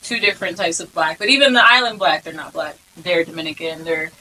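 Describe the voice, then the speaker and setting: A young woman from the United States hosting a podcast, talking in a lively, emphatic, casual way. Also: on the low side, a little fast, a little hiss or hum, low-quality recording. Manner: lively, emphatic, swears freely. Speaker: podcast host.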